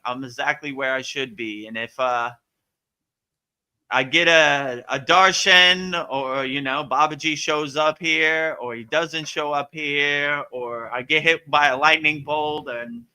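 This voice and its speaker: Bright, forceful American man, casual spiritual teacher. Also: mid-range voice, clear, resonant tone. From Miami, Florida, United States. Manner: laid-back, colloquial, anecdotal. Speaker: spiritual teacher answering student questions. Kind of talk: casual spiritual question-and-answer talk.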